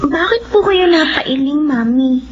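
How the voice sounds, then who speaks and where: Very high-pitched young daughter. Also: female voice, expressive, a little slow. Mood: sweet, polite. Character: sweet dutiful young daughter, a little girl. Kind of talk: Philippine radio horror drama acting. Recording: dull lo-fi radio audio, noisy.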